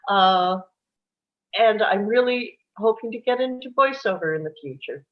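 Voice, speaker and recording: A woman's voice: medium pitch, lively intonation, a little slow. An older woman who acts, introducing herself casually. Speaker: actress, reader of action descriptions. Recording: dull, muffled video call audio.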